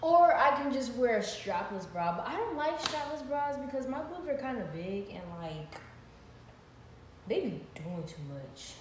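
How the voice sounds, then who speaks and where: Slow-talking young woman, echoey room. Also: sits high, sing-song, far from the mic. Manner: chatty, playful, slangy. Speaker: vlogger. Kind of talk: casual clothing haul. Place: living room, indoors. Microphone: built-in camera mic from across the room. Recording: echoey room, voice off-mic, ambient noise.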